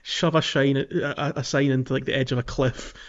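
A man's voice: medium pitch, fairly even intonation, fairly quick, dull audio. A man chatting casually about a video game as a podcast host.

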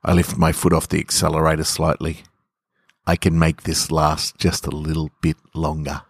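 A man's voice: rumbling low, completely flat delivery, normal speed, gravelly, breathy edge. An Australian man hosting a storytelling podcast, speaking close to the microphone. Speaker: podcast host and author reading own stories. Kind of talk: casual intro and measured literary story reading. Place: garage.

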